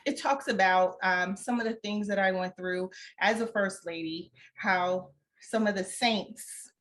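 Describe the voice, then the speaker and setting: A woman's voice: mid-range voice, measured pace, fairly bright tone. A woman guest in an interview, speaking conversationally as an author in a reflective, encouraging way.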